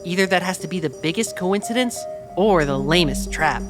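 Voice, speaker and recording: Someone playing a nerdy quiet young researcher, careful talker, audio drama with music playing behind. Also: mid-range voice, lively intonation, normal speed, close to an everyday voice. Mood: earnest, measured. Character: pale, brainy, reserved young tech-savvy researcher. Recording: clear music bed behind the voice.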